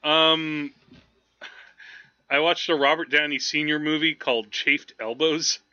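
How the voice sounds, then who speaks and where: A man's voice: fairly high pitch, fairly even intonation, unhurried, pushed and bright, highs cut off, a little hiss or hum. A man talking through films casually as a podcast host, in a rambling, conversational way. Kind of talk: casual film discussion.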